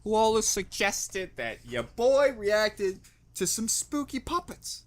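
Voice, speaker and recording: A man's voice: high-pitched, takes it slowly. A man hosting a reaction video with casual, joking, exaggerated and profane commentary.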